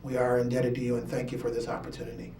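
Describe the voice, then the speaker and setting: A man's voice: mid-range voice, completely flat delivery, racing. A father giving an earnest award speech with dry humorous asides. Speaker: parent presenting an award. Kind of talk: ceremony speech. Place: school event hall. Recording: echoey hall from far off, faint noise.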